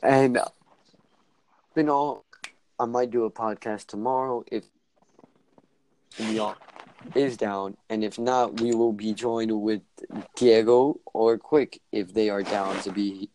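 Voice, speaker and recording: A man's voice: on the low side, steady tone, slow, pauses often, darkish tone, slight background noise, poor audio. A teenage boy hosting a casual podcast with friends over voice chat, often stumbling over his words and restarting. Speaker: podcast host.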